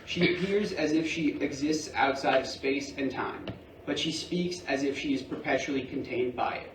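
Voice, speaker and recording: A man reading stage directions as scripted narration for a play, measured and deliberate. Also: medium pitch. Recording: roomy, distant mic, faint noise.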